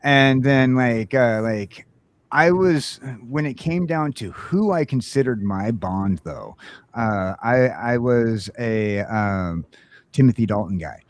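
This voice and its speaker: A man hosting a podcast, chatting casually in a rambling, halting way with frequent false starts. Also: medium pitch, animated. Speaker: podcast host. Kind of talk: casual chat about film franchises.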